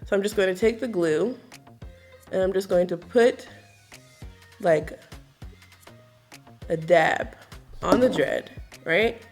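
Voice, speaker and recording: A woman in her twenties giving a casual, chatty hair tutorial, her voice a little raspy from just waking up, with lots of filler words. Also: medium pitch, takes it slowly, pauses often, faint music behind. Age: 20s. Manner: relaxed, chatty, lots of filler likes and ums. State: slightly raspy morning voice.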